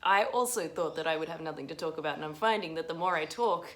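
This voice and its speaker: Woman vlogging, rambly, laughs at herself a lot, kinda low voice. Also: quick pace, fairly bright tone. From Russia. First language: Russian. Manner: rambling, self-deprecating, laughs often. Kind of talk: unscripted vlog ramble.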